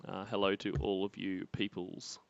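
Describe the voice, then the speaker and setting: A man's voice: low-pitched, monotone, normal speed, vocal fry, dry recording. A man cracking jokes and laughing often as co-host of a stream reaction. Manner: mocking, laughs a lot. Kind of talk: casual banter. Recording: dull, dry home mic.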